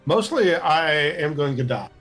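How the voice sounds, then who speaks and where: A man's voice: on the high side, animated, a little slow, a bit bright, soft background music. A man playing a tabletop game, chatting casually and thinking his moves through aloud in a hesitant, rambling way.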